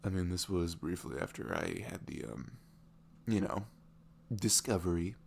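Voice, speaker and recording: A man playing a smitten, vulnerable boyfriend in an intimate ASMR roleplay, musing haltingly in a voice that often softens toward a whisper. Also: sing-song, slight background noise, heavily acted. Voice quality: low, creaky voice, often dropping toward a whisper. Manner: halting, musing, frequent "you know" and soft chuckles. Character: smitten, vulnerable young neurodivergent boyfriend meeting online love. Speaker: ASMR audio roleplay performer.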